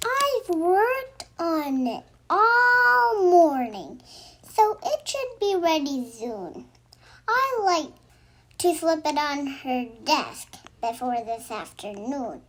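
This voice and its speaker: A female reader telling children's verse in an animated, expressive, sing-song storytelling style. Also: high-pitched, slow. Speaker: children's book narrator.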